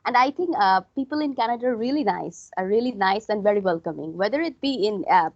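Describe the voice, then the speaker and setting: A woman's voice: medium pitch, lively intonation, fast, darkish tone, dry recording, lo-fi sound. A young woman from Bangladesh, a graduate student, speaking earnestly on a video call and repeating her phrases. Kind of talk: casual academic discussion.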